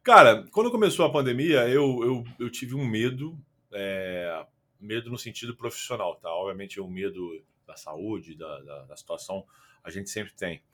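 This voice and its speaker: A man's voice: fairly low pitch, normal speed, a bit bright. A young man with a Carioca accent, a guest on a remote podcast call, talking casually and rambling with many fillers and restarts. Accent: Carioca Portuguese. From Rio de Janeiro, Brazil. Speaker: podcast guest, football content creator.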